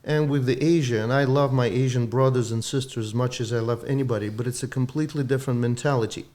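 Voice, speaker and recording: Man, from Ukraine, Russian native speaker, doctor guest, earnest, explanatory, slightly halting English. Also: mid-range voice, fairly even intonation, a little mellow. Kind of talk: interview conversation.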